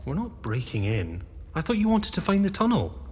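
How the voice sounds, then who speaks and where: A man's voice: on the high side, huge pitch swings, moderate pace, a little mellow. An eager fourteen-year-old boy. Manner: impatient, teasing, adventurous. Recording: long hall reverb with faint hiss.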